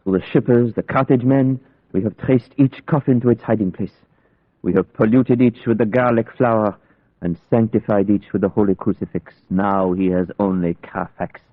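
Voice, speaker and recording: Devout, learned monster hunter played by a male actor, grave and formal, slightly rough tone, narrow vintage radio sound. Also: lowish voice, fairly even intonation, dry recording, natural voice. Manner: formal, deliberate, foreign-sounding word order. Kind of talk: old-time radio drama acting. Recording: muffled, band-limited vintage radio recording.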